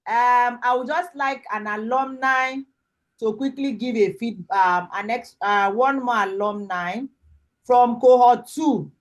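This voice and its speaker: Nigerian lady hosting a group video call, voice a bit high, informal instructor talk. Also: takes it slowly. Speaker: host and lead instructor of an online graduation. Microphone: video call microphone.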